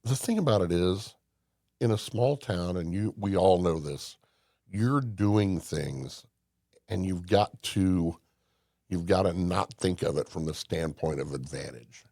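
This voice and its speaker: Older man from Indiana, low gravelly voice, folksy storyteller, dry close mic. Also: a little slow, darkish tone, no room sound. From Indiana, USA. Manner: folksy storyteller, emphatic, with restarts. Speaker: podcast guest. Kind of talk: casual conversation.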